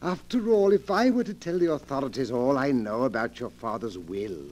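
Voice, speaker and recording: An actor playing a polite, formal manservant with a sly streak, in 1940s radio drama style. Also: male voice, mid-range voice, expressive, normal speed, a little mellow, lightly acted. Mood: slyly insistent.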